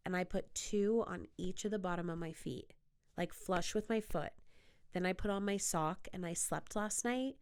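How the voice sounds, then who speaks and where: A woman's voice: on the low side, smooth. A young woman hosting her podcast, talking casually in a playful, bubbly way, a little nasal and sniffly from a cold. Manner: chatty, playful and bubbly, with quick asides. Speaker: podcast host. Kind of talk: casual solo podcast chat.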